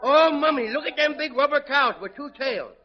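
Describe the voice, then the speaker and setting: A man's voice: extremely high pitch, normal speed, soft background music. A man playing a mischievous little boy with childish grammar and cheeky wisecracks in 1940s radio comedy. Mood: wary, bratty. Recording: muffled, noisy vintage radio recording, studio audience.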